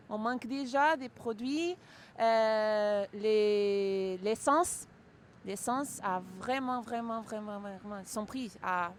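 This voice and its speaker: A woman from Portugal with a Portuguese accent, answering a street interview hesitantly, searching for words. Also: a little high. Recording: faint street noise.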